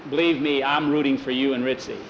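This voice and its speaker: A man's voice: mid-range voice, level tone, fast talker, a bit bright, dull audio, a little hiss or hum, lightly acted. A man voicing a friendly, folksy rural horseman with a plain-spoken way of talking. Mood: warm, sincere. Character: rural sheep-owning horseman, friendly, apologetic, grateful man.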